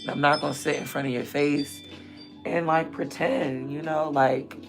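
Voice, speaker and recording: A raspy woman in her thirties talks straight to her livestream viewers, candid and rambling, sometimes loud and sometimes dropping to a mutter. Her voice has a gravelly fry running through it. Faint music plays behind her. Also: deep voice, fairly even intonation, moderate pace, poor audio. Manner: candid, rambling, drifts between loud and murmured asides. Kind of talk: casual livestream chat.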